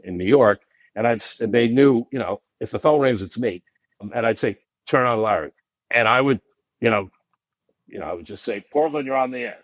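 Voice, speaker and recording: Deep-voiced man telling rambling stories. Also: dry recording. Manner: rambling, animated anecdotes with restarts. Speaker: podcast guest. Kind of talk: casual storytelling interview.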